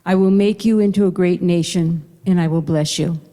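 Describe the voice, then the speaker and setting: A woman from the congregation reading scripture aloud in a measured, steady way. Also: lowish voice. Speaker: congregant volunteer reader. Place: synagogue hall. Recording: reverberant hall.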